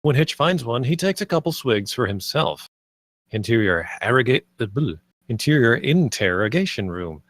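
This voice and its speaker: A man's voice: medium pitch, big pitch swings, normal speed, no room sound, clean studio sound. A man narrating the stage directions and scene headings of a screenplay at a table read.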